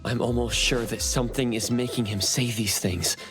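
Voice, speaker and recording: A man's voice: medium pitch, fairly even intonation, normal speed, music in the background, lightly acted. An actor playing an earnest, perceptive young male hero in a video game. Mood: alert. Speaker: main character. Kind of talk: English video game voice acting.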